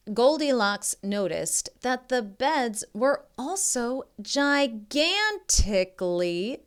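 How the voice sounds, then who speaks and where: A woman reading a children's picture book aloud in an expressive, teacherly way, with chatty asides to her listeners. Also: mid-range voice, smooth.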